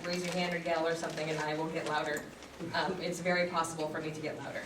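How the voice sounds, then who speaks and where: A woman broadcaster with a loud voice, giving a chatty, self-deprecating talk to an audience in a community meeting room. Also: sits low, flat delivery, quick pace, far from the mic. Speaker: guest speaker, broadcaster. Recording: echoey room audio recorded from afar, with noise.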